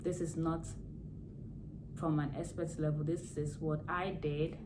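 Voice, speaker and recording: A hoarse woman with a low voice talks through home care in a casual vlog, explaining plainly and going back over the important points. She is recorded to camera, with a steady hiss of noise behind her. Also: measured pace. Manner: plain explaining, repeats key words. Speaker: nurse vlogger. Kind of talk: casual health advice vlog.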